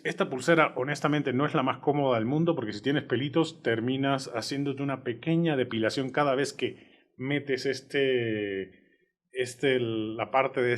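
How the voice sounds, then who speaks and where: A man with a Cuban accent giving a conversational, enthusiastic product review from his home studio. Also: mid-range voice. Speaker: tech reviewer.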